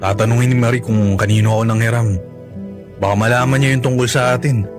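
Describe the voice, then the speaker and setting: A man's voice: medium pitch, steady tone, quick pace, music in the background, natural voice. An actor with a Filipino accent playing a cash-strapped, apologetic and devoted young father in a radio drama, with a self-effacing manner. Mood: resigned, tender.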